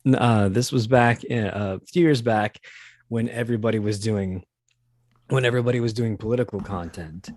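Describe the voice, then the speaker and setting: A man with a low voice, recorded close and dry, talks casually as an interview guest. He is halting and modest about himself, often restarting as he searches for words. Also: darkish tone, no room sound. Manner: hesitant, self-deprecating, halting. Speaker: podcast guest, voice performer.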